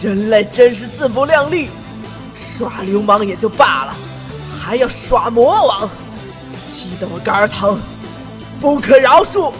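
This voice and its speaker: A man's voice: high voice, expressive, a little slow, fairly bright tone. A man playing a boastful, hot-tempered demon king who rants pompously, in a fan audio drama. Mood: exasperated. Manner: pompous, ranting, indignant. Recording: long hall reverb with music.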